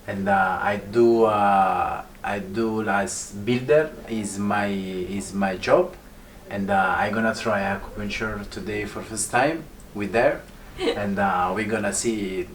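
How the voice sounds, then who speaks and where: A man with an Italian accent, a builder trying acupuncture, speaking haltingly with many hesitations. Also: fairly low pitch. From Italy. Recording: distant mic, faint noise.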